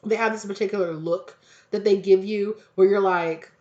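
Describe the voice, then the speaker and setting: A woman giving a casual tarot reading, hoarse and close to losing her voice, rambling in slang and acting out little quoted exchanges. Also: medium pitch, steady tone, moderate pace, dark tone. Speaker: tarot reader. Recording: echoey, dull lo-fi room recording.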